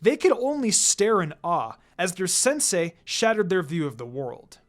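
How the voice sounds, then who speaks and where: A narrator reading fan fiction aloud in an expressive, scripted storytelling style. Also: medium pitch, measured pace. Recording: background music under the voice. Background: music.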